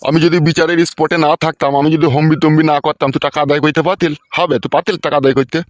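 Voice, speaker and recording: A man's voice: a little high, steady tone, very fast, no room sound, highs cut off, close to an everyday voice. A man voicing a scheming, bossy, middle-aged village uncle in a comedy cartoon, scolding and constantly checking he is understood. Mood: irritated scolding. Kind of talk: Bengali comedy cartoon dubbing.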